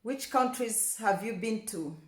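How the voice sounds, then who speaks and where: A woman's voice: fairly high pitch, measured pace. Chatty Rwandan-born woman, echoey room. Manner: chatty, repeats words for emphasis, grows passionate. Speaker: vlogger. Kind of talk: casual talk to camera.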